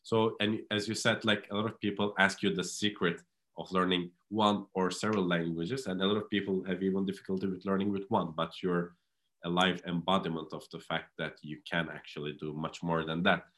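A man's voice: sits low, little pitch movement, fairly quick, a bit bright. A man hosting an interview, asking friendly, guiding questions and summarizing the answers. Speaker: interview host.

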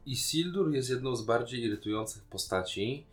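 A man's voice: medium pitch, animated, normal speed, loud music behind the voice. A man co-hosting a podcast in casual chat, musing and colloquial as he talks. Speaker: podcast co-host. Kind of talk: casual chat, film and series discussion.

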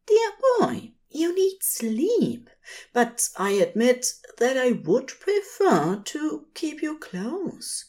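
Woman putting on a fussy, high-voiced, tender gentleman. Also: big pitch swings, very slow, heavily acted. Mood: tender, coaxing. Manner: polite, formal, hesitant. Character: fussy, tender, anxious male supernatural gentleman.

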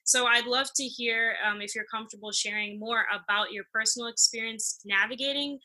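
Woman host, very bright tone, slightly high voice, affirming. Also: fairly even intonation, fairly quick, rough recording. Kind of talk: interview.

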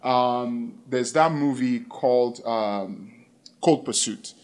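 A man's voice: medium pitch, slow pace, a little mellow. A man chatting casually as a podcast co-host, hesitant as he searches for words. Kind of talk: casual conversational chat.

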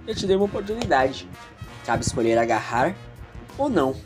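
Male actor as cryptic, self-important Brazilian schoolboy, theatrical and teasing, highly animated, audio drama. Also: brisk, music in the background, lightly acted. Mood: smug, teasing. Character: enigmatic, grandiose teenage schoolboy, smug and dramatic.

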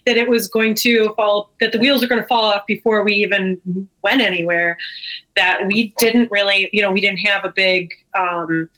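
A woman with a medium-pitched voice talks as a guest in an interview. She is a business co-founder telling her story in a casual, conversational manner. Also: fairly even intonation, normal speed, sharp tone, rough recording.

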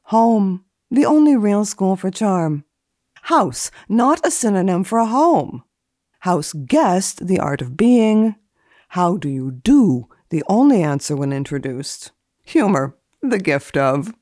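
Deadpan, deliberate woman with swooping intonation. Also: medium pitch, dry recording, clean studio sound. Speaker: guest etiquette columnist. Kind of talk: dramatic reading of a book index and deadpan commentary.

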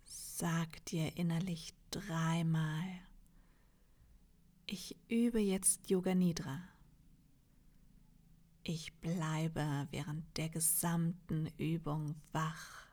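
A woman leading a guided meditation in a soft, half-whispered, soothing voice, leaving long pauses between phrases. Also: sits low. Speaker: yoga nidra meditation guide.

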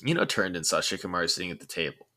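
A man reading a story aloud as narrator, with an expressive delivery that now and then stumbles and rereads phrases. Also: low voice, racing. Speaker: fan fiction audiobook narrator.

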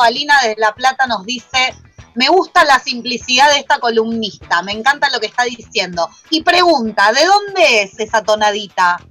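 A woman's voice: fairly high pitch, pushed and bright. A woman co-hosting a radio show, reading listener messages and teasing in casual chat. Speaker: radio co-host.

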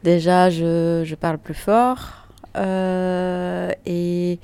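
Slow, gravelly middle-aged woman. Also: lowish voice, steady tone, warm tone, no echo. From Italy. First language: Italian. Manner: thoughtful, unhurried, frequent hesitations. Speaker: interviewee. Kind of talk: reflective personal testimony.

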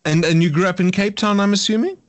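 A man interviewing a musician, asking questions in halting, restarting phrases. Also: sits high, dry recording.

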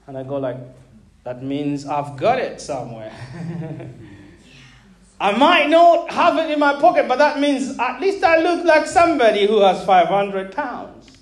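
Pastor, man in his thirties, from Zambia; emphatic sermon delivery, high for a man. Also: lively intonation, a little slow. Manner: emphatic, calls out to the congregation; repeats words, laughs between points.

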